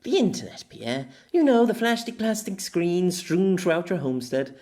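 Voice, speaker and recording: A man's voice: high-pitched, very animated, moderate pace, darkish tone, pitched above the performer's own voice. A man voicing a curious, teasing young heroine from another world with haughty, old-fashioned phrasing. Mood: teasing, playful. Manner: grandiose archaic phrasing, playful.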